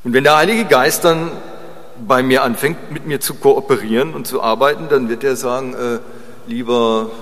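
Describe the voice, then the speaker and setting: A man gives an informal sermon in a large, very reverberant church space. His voice sits at a medium pitch. He speaks haltingly and conversationally, repeating phrases and checking in with short tag questions. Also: fairly bright tone. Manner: chatty, seeks agreement with short tag questions. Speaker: preacher. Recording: long reverb of a large hall.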